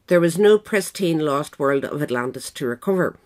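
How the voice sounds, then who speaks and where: A woman reads a nonfiction essay as an audiobook narrator. Her voice sits at a low pitch for a woman, and her delivery is measured and formal, following a written text. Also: expressive, a little mellow. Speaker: audiobook reader. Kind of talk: scripted narration of a political history essay.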